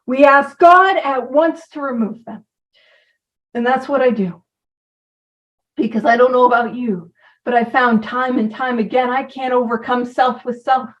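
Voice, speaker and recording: A woman's voice: a little high, measured pace, recorded from a distance, lo-fi sound. A woman giving an informal talk about recovery, chatty and self-deprecating, who drifts from point to point. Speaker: recovery program speaker.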